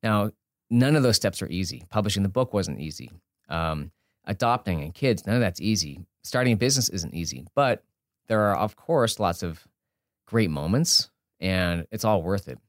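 A man with a fairly low voice speaks casually as an interview guest. He thinks out loud, often breaking off a sentence to rephrase it. The sound is dry and close, with very little room. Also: lively intonation, moderate pace, dry recording. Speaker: podcast guest, entrepreneur and author.

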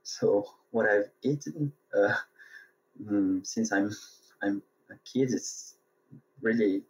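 A man's voice: fairly low pitch, slow, breathy edge. A man from France and Tunisia with a French accent, answering interview questions hesitantly as he searches for words. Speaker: interview guest, sake brewery worker. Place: remote call from Japan. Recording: dull, muffled remote connection.

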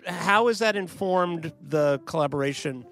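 Man interviewer with higher voice. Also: measured pace, a bit bright, faint music behind. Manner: probing, rephrasing his questions. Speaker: interviewer host. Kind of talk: interview questions.

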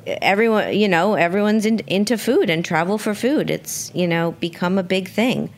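A woman's voice: mid-range voice, normal speed, slight background noise. A woman hosting a conversational radio interview, enthusiastic and often repeating words as she goes. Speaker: radio show host and interviewer.

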